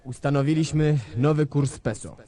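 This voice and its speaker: Bombastic male dictator played by an actor, high-pitched, pompous orator addressing his nation in a stage drama. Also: fairly even intonation, moderate pace, crowd noise, lightly acted. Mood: fervent, bombastic. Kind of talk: theatrical political speech.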